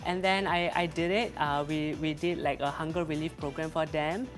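A man's voice: fairly high pitch, steady tone, moderate pace, fairly bright tone, soft background music. A young man from Singapore, a guest chatting casually and laughing as he talks, with many restarts and fillers. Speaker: guest, social media creator. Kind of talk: casual chat.

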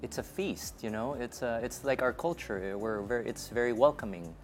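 A man from the Philippines with a Filipino accent, a chef talking casually in an interview, relaxed and halting, slipping in Filipino phrases. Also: mid-range voice, soft background music. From Philippines (Bacolod). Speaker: chef guest.

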